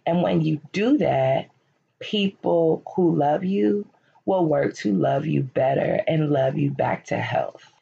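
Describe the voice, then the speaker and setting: A woman in her late thirties hosting a podcast, talking candidly and encouragingly about her life, her voice hoarse and cracking as she loses it. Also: sits low, takes it slowly, warm tone. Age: late 30s. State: losing her voice, slightly cracking. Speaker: podcast host. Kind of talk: personal reflective monologue. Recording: lo-fi, dull recording.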